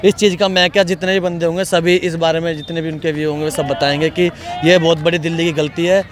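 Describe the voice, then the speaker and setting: A man's voice: on the high side, level tone, fast. A young man standing on an outdoor sports ground, talking emphatically and at length into a handheld mic. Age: young adult. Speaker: interviewee. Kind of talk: casual opinion.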